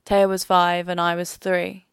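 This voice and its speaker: Female documentary narrator, restrained pitch movement, vocal fry, dry sound. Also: flat delivery, a little slow, dry recording.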